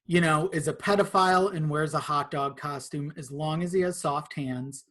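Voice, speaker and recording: Man, casual podcast guest, halting explanations. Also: fairly high pitch, fairly bright tone. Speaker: podcast guest, content creator. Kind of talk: casual conversational interview.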